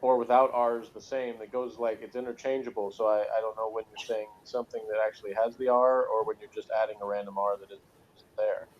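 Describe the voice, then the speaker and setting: A man's voice: lowish voice, flat delivery, fairly quick. A man talking casually as co-host of a review discussion. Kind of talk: casual chat. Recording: dull, muffled lo-fi sound.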